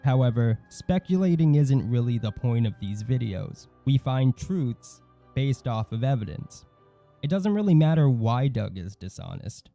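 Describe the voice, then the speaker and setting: A man's voice: medium pitch, big pitch swings, a little slow, dark tone, faint music behind. A man reading a scripted commentary as the host of an online video.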